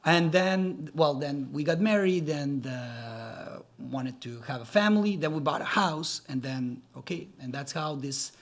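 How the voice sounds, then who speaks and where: A man in his forties, a native Serbian speaker raised in Quebec, talking as a podcast guest in a friendly, halting way full of fillers and restarts. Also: a little high. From Serbia, raised in Gatineau, Quebec. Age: 40s. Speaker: podcast guest, real estate developer. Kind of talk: casual interview talk.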